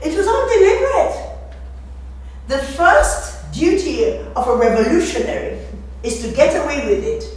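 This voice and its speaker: A woman's voice: high voice, very animated, measured pace, voice pushed up. A woman playing a sly revolutionary Regency novelist in stage dialogue, knowing and pointed. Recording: echoey room, distant mic, faint noise.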